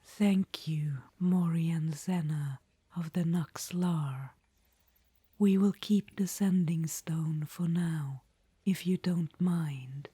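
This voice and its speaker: A woman's voice: low-pitched, takes it slowly, slightly rough, dry recording, full character voice. A woman whispering as a sly undead witch queen. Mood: coaxing. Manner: soft unvoiced whisper. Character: scheming undead witch queen, proud, dryly wry. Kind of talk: whispered ASMR audio roleplay.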